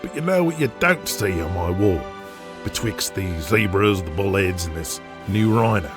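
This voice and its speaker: A man's voice: sits low, animated, normal speed, over music. A man playing a brash, boastful, profane Australian big-game hunter and media tycoon in an audio drama, with an intimidating manner. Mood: commanding, scornful.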